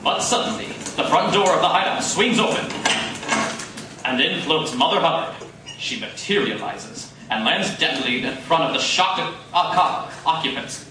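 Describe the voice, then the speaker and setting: A man's voice: on the high side, lively intonation, moderate pace. A man narrating dramatically from a script in the style of a radio serial, at a live performance. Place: live coffee house performance. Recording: echoey live coffee house, distant, noisy.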